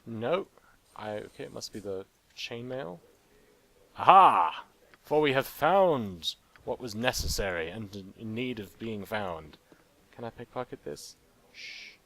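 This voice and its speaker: A man's voice: mid-range voice, lively intonation, unhurried, creaky, fairly bright tone, no room sound, rough recording. A man casually commenting on his own gameplay, joking and talking to the game's characters. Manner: playful, jokey, talks to game characters. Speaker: let's play gamer.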